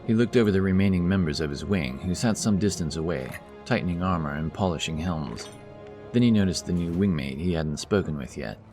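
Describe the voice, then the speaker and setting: A man narrating a fantasy audiobook in a steady, measured way. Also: low-pitched, over music.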